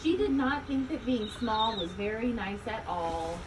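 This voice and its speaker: A woman reading a children's story aloud, expressively, with deliberate emphasis on key words. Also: fairly high pitch, expressive. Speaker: storybook reader. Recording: distant mic, loud background ambient noise.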